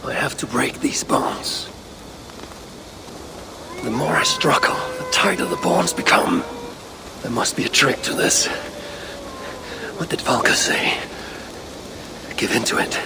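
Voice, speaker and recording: An actor playing a proud, vengeful, brooding warrior god in a video game cutscene, with grand archaic phrasing. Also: male voice, medium pitch, expressive, slow, gravelly, long pauses, background noise. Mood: tense, brooding.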